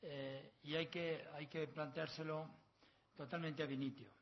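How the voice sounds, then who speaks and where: A man's voice: fairly high pitch, fairly even intonation, unhurried, a little hiss or hum. A middle-aged man from La Mancha, Spain, with a Castilian accent, giving an academic conference lecture as a professor in an erudite, discursive manner. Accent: Castilian Spanish. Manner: erudite, discursive, with proverbs and learned asides. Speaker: university professor, conference speaker. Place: long conference hall. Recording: slightly dull, lo-fi sound.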